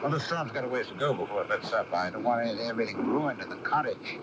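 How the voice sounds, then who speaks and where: A man's voice: mid-range voice, expressive, fast talker, lightly acted. An actor playing a brusque, bossy middle-aged father in a 1980s radio drama, calling out in a folksy manner. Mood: brusque, impatient. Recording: very noisy old AM radio broadcast.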